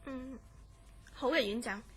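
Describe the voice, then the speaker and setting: An actress playing a concerned young woman in a Cantonese horror radio drama, telling gravely of her troubled friend with a Hong Kong accent and measured, polite delivery. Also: high voice, faint music behind. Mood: earnest, grave.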